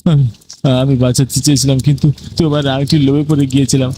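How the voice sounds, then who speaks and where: A man's voice: mid-range voice, flat delivery, fast, dark tone, slight background noise, natural voice. A man voicing a frightened, guilty man who stammers and pleads for mercy in a horror cartoon. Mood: guilty, fearful. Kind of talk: dramatic horror cartoon acting.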